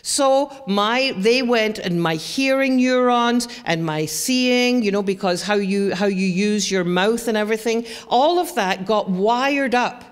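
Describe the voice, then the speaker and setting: A woman's voice: medium pitch, unhurried, few pauses, smooth. A woman with a Scottish accent gives a chatty educational talk to parents and often asks the audience questions. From Scotland. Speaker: presenter. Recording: long hall reverb.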